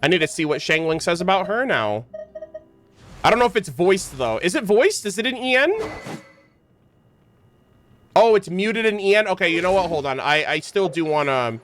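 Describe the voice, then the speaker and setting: A man's voice: sits high, lively intonation, normal speed, long pauses, fairly bright tone. A man giving casual livestream commentary on a game in an enthusiastic, gushing way. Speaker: game streamer. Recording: game music behind.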